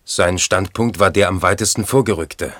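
An actor playing a sober, probing questioner and commentator in a radio play, measured and inquiring. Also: male voice, low-pitched, fairly bright tone.

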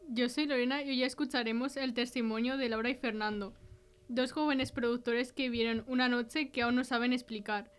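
Higher-pitched Spanish woman hosting, music underneath. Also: fairly even intonation, normal speed, clear, resonant tone. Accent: Spain Spanish. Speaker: podcast host. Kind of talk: hosting a mystery interview podcast.

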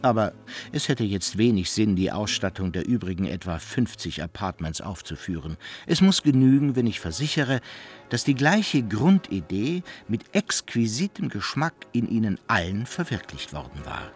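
A man narrating a satirical radio play as a wry, measured storyteller who addresses his listeners. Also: fairly low pitch, big pitch swings, slightly rough, exaggerated voice. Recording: music behind the voice.

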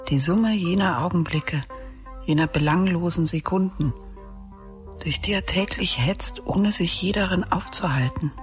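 An actor playing a reflective narrator with a confessional air, delivering literary narration in a radio drama. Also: male voice, a little high. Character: reflective, ashamed narrator recalling a secretly observed man. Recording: music under the voice. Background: music.

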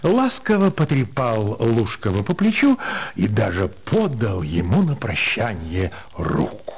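A man's voice: lowish voice, sing-song, a little slow, creaky. A man narrating a literary story for radio in a theatrical, scripted style, with music behind him.

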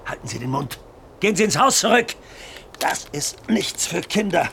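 A man playing a wild, obsessed farmer in a radio drama, gravelly and erratic, with a gruff, mocking impatience. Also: sits high, very animated, moderate pace, fairly bright tone, slight background noise, exaggerated voice. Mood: scornful, impatient. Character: wild, obsessed farmer hunting his prey, gruff and scornful.

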